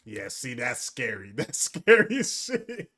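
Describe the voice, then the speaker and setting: A young man hosting a reaction video, giving excitable, slangy commentary and repeating his phrases. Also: medium pitch, measured pace.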